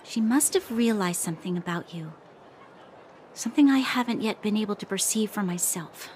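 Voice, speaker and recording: An actress playing an earnest, dutiful young female officer in a video game, speaking in a measured, formal, reflective way. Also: mid-range voice, lively intonation, darkish tone, slight background noise, close to an everyday voice. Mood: questioning. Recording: faint music underneath.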